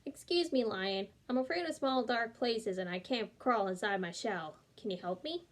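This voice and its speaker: A woman reading aloud, voicing a shy young boy turtle who is afraid of the dark, with a polite, pleading manner. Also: on the high side, animated, moderate pace, natural voice.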